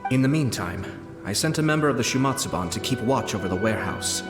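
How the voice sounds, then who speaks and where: An actor playing a sly, composed man who speaks in a measured, formal, faintly amused way. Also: mid-range voice, lively intonation, close to an everyday voice. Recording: roomy game voice-over with music.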